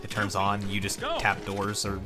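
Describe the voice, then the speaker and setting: Low-voiced man chatting over game music. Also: completely flat delivery, normal speed. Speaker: co-commentator on a let's play. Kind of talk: casual chat.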